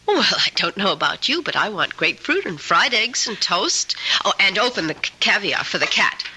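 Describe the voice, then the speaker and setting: A woman's voice: medium pitch, very animated, normal speed, bright, forceful tone, husky, exaggerated voice, poor audio. An actress playing a domineering, manipulative woman houseguest with a commanding, patronizing manner, in old-time radio drama style. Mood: bossy, impatient. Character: domineering, bossy woman houseguest, coolly manipulative, quick to anger.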